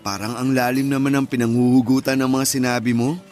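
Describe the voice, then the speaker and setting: A man's voice: medium pitch, little pitch movement, a little fast, darkish tone, faint music behind, close to an everyday voice. An actor playing an earnest, hopeful young man courting an old sweetheart in radio romance drama, in casual, tentative Taglish.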